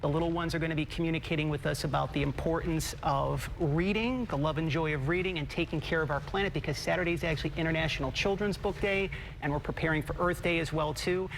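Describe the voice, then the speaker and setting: Heartfelt male TV guest, a bit high-pitched and gravelly, faint hum behind. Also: fast talker, low-quality recording. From Buffalo, New York. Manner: earnest, upbeat, heartfelt. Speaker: guest, publicist for children's authors. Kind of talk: live TV morning show interview. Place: TV studio.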